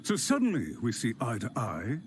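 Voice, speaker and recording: An actor playing a scheming male official in a game, sneering and quick to take offence. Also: mid-range voice, huge pitch swings, faint music behind. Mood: smug. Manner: sneering, indignant. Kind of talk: game cutscene voice acting.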